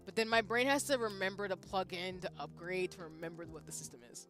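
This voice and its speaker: A woman's voice: on the low side, fairly even intonation, brisk, a bit bright, faint music behind. A young woman streamer chatting casually with her viewers, thinking aloud with frequent restarts. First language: English. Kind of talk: casual chat reading viewer comments.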